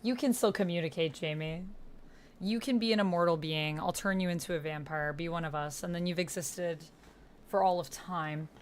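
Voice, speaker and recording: Woman, lower-pitched voice, casual livestream chat, thinking aloud, talking to viewers. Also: normal speed, slight background noise. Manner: reads and reacts aloud while talking to chat. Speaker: livestreamer playing a text-based roleplaying game.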